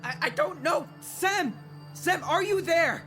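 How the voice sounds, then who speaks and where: Music plays behind a man acting a frightened, earnest technician in an audiobook drama. His anxious voice swings widely in pitch. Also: lively intonation, takes it slowly, bright, forceful tone, exaggerated voice. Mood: panicked. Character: worried, frightened male technician at a radio desk.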